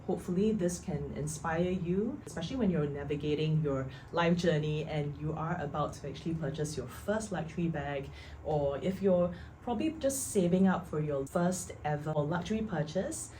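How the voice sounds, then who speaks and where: A woman's voice: low voice, normal speed, a little hiss or hum, poor audio. A young woman with a Singaporean English accent hosting a casual chat, encouraging and chatty. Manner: warm, encouraging, chatty with fillers. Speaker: host.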